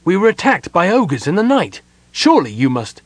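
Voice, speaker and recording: Man acting an impassioned young elf prince, high-pitched. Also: sing-song, moderate pace, dry recording. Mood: impatient. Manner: impassioned, urgent, commanding. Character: young male elf prince, anguished, imperious, impatient.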